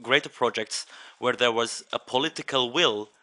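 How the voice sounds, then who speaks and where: A man's voice: medium pitch, normal speed. A young man from Syria, an architect and urban planner, speaking fluently and steadily at a conference desk microphone. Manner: fluent, steady, hands moving as he talks. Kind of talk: conference floor comment. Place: large conference room.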